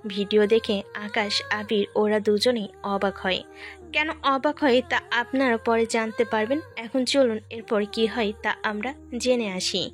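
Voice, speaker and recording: A woman's voice: on the high side, unhurried, faint music behind. A woman narrating a scripted audio story.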